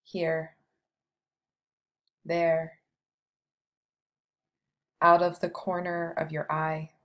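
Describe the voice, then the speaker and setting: A woman guiding a meditation with scripted, poetic narration, delivered in a measured way with long pauses. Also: low voice, monotone, fairly bright tone. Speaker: meditation guide.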